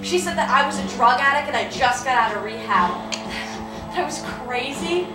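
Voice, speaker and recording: A woman's voice: sits high, lively intonation, normal speed. A woman performing a dramatic confessional monologue as a wounded, defiant daughter, speaking with emotional emphasis. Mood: bitter hurt. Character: wounded, defiant young woman recounting betrayal by her mother. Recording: echoey room, distant mic, music behind.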